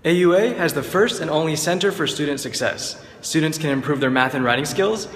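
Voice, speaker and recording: A man's voice: a little high, brisk, a bit bright. A young man guiding a campus tour as a vlogger, in upbeat scripted narration, recorded on a phone held at arm's length. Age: young adult. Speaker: student vlogger, tour guide. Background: background music.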